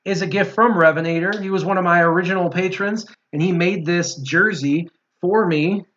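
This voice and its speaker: A man's voice: high-pitched, normal speed, a bit bright. A man chatting casually on a gaming stream with teasing, slangy banter, as the host. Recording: dull, lo-fi voice audio.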